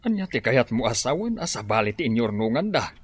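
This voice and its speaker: A man's voice: mid-range voice, big pitch swings, fast, husky. An actor playing an older farming father who scolds his absent children in a radio drama. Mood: exasperated.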